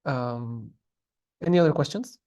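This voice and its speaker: A man teaching a language class, explaining grammar patiently in a relaxed way with frequent pauses and restarts. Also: mid-range voice, lo-fi sound.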